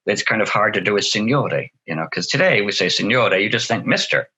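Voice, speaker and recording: A man being interviewed as a translator, talking in a relaxed, chatty way, often saying "you know" and laughing easily. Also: fairly low pitch, brisk, husky.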